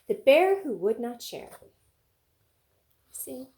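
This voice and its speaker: A woman reading a story aloud as a preschool teacher, with coaxing, animated asides and questions for young children. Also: high voice, takes it slowly, slight background noise.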